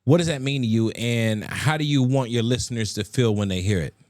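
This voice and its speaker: A man's voice: mid-range voice, a little fast, darkish tone, dry recording, clean studio sound. A man hosting a casual radio interview, speaking in slang with encouraging interjections. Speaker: radio session host interviewing.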